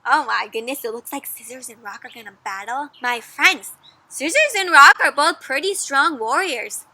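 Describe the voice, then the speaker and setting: High, bright, animated woman storyteller. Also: no room sound, rough recording. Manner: expressive, asks children questions, gasps and dramatic pauses. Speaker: storyteller reading to children. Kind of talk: animated children's read-aloud.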